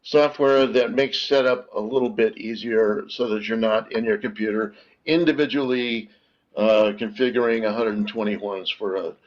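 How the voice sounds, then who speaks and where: A man presenting a product as a webinar guest, explaining technical details informally with halting pauses mid-phrase. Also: fairly low pitch, fairly even intonation. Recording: dull, lo-fi online call audio.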